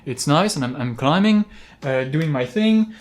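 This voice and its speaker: A man talking casually as an outdoor climbing vlogger, explaining gear with examples in a halting, rambling way. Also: a little high, expressive. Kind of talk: casual product-talk vlog, explaining with examples.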